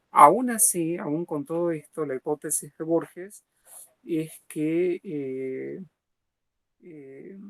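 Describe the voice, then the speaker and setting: Halting, slow-spoken male lecturer. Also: on the high side, vocal fry, no room sound. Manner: hesitant, many pauses and fillers; slow and deliberate. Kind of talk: academic lecture with a reading.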